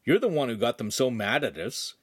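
A man's voice: medium pitch, sing-song, brisk, slightly rough, dry recording, clean studio sound. A man as a casual, grumbling teenage boy. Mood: complaining. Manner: casual, urging, complaining. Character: level-headed, grumbling teenage boy, friends' spokesman.

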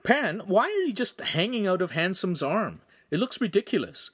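A man's voice: sits high, sing-song, normal speed, a bit bright, husky, no echo, clean studio sound, pitched above the performer's own voice, exaggerated voice. A man voicing a practical, puzzled teenage boy who asks questions in a storybook.

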